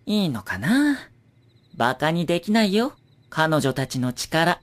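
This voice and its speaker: A woman's voice: low voice, very animated, unhurried. A woman playing a sly, playful teenage boy informant in an anime audio drama, breezy, teasing and knowing. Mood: breezily knowing.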